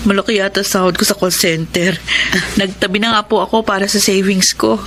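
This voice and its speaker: A woman's voice: medium pitch, steady tone, a little fast, a bit bright, slight background noise, close to an everyday voice. An actress with a Filipino accent playing a grateful, supportive young working mother and eldest daughter in an audio drama, respectful and sincere. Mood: cheerful. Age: young adult.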